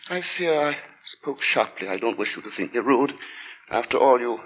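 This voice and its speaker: Man acting eccentric pious gentleman lodger, vintage radio thriller. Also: medium pitch, animated, moderate pace, slight background noise, close to an everyday voice. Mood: apologetic. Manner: formal, polite, scripture-quoting, abruptly stern. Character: eccentric, devoutly religious, weary gentleman lodger, quietly sinister. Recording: dull vintage radio recording with music.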